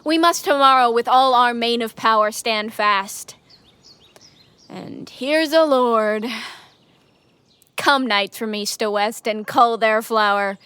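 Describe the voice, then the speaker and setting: A woman playing a resolute, stately war commander, declaiming measured verse in a Shakespearean audio drama. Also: a little high, sharp tone, clean tone, dry recording.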